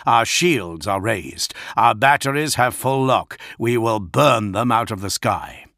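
Guy doing a proud suspicious warlord in an audiobook, clipped commands, gravelly, dry sound. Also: mid-range voice, expressive, a little slow, sharp tone, no room sound. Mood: cold resolve. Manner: clipped, accusing, authoritative. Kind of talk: audiobook character acting.

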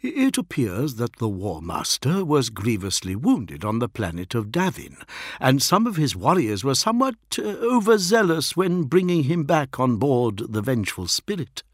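A man voicing a foppish, deferential imperial envoy in an audiobook, careful and uneasy, with smooth formal phrasing. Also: mid-range voice, huge pitch swings, normal speed, no room sound, studio quality, voice pushed up. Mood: grave. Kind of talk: dramatic audiobook character voice.

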